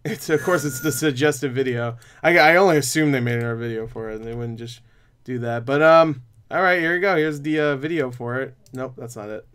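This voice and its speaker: A man's voice: mid-range voice, a little fast, a bit bright, clear, resonant tone. A man in his twenties giving casual, rambling, sweary reaction commentary as a video host. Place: small room at home.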